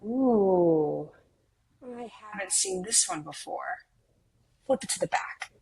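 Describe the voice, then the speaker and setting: A woman's voice: medium pitch, animated, takes it slowly, vocal fry, darkish tone, husky, heavily acted, rough recording. A woman playing a playful, curious millennial twin sister with a mystical streak, in a romcom table read. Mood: intrigued.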